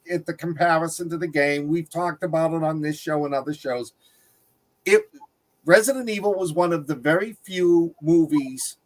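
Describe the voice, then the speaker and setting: Man, higher-pitched voice, casual panel chat, film review co-host. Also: rough recording.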